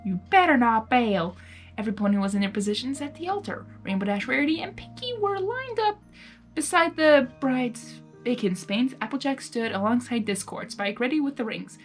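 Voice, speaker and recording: A woman's voice: high-pitched, expressive, fairly quick, soft background music. A woman narrating a story informally as an amateur reader, dropping in spontaneous casual asides and stumbling now and then. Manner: reacts spontaneously while reading, stumbles over words. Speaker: fan fiction reader, narrator.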